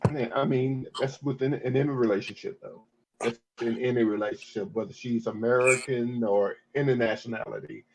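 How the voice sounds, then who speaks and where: A man's voice: medium pitch, steady tone, brisk, gravelly, slight background noise. A man in his fifties talking casually on a podcast, rambling with many restarts and repeated "I mean"s. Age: 50s. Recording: dull lo-fi audio.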